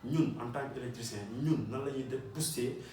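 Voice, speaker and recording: A man's voice: medium pitch, normal speed, darkish tone, a little hiss or hum. A man with a Senegalese accent, an electrician giving an earnest motivational talk to his audience and repeating his points for emphasis. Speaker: electrician and channel host. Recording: roomy echo, distant mic, faint ambience.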